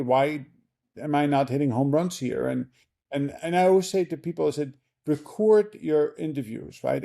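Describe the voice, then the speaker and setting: Male podcast host, gravelly and mellow, rambling interviewer with frequent "uh"s. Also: medium pitch. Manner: rambling, many fillers and restarts. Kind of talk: casual interview talk.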